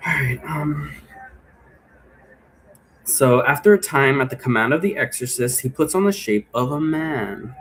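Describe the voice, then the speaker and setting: A man's voice: mid-range voice, a little slow, long pauses, a bit bright, poor audio. Man explaining casually over background noise. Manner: rambling, checks understanding with "right?". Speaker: teacher explaining occult role-play rules. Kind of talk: casual unscripted explanatory talk.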